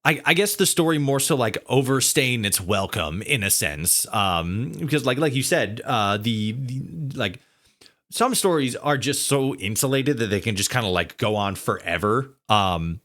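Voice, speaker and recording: A man hosting a casual interview podcast, talking in a halting, rambling way peppered with filler words. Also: mid-range voice, lively intonation, a bit bright. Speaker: podcast host interviewing a guest.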